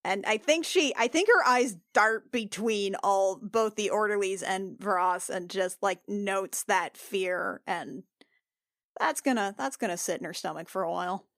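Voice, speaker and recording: A woman's voice: mid-range voice, a little slow, fairly bright tone, dry recording, studio quality. A woman casually narrating her character's actions as a player in a tabletop game podcast.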